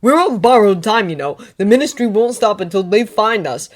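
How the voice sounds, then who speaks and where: A woman's voice: mid-range voice, lively intonation, normal speed, pitched above the performer's own voice, close to an everyday voice. A woman voicing a weary, guarded teenage wizard who talks in a tense, clipped way.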